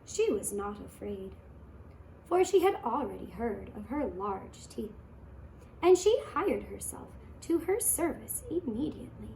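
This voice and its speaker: A woman narrating a fairy tale for children in a deliberate, expressive storytelling manner. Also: on the high side, gravelly. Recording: echoey room with faint noise.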